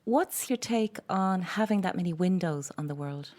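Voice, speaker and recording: A woman's voice: on the low side, animated, moderate pace. Inquisitive woman host. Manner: inquisitive, gently probing. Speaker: interview host. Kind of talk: radio-style interview questions.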